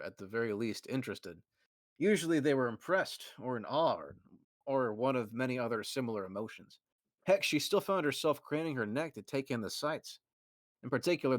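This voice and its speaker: A man's voice: medium pitch, no echo. A young man casually reading a fan fiction aloud, often breaking into laughter and restarting lines.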